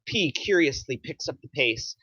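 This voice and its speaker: A man's voice: a little high, expressive, normal speed, bright, forceful tone, breathy edge, dry recording. A man reading scripted stage directions as narrator of a screenplay, in lo-fi sound.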